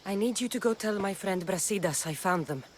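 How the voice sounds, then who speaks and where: A woman's voice: medium pitch, expressive, fairly quick, slight background noise, lightly acted. A woman voicing a determined young female mercenary of ancient Greece in a video game, speaking firmly and bluntly. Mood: resolute. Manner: firm, blunt. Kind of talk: dramatic video game dialogue. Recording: game soundtrack music behind the voice. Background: music.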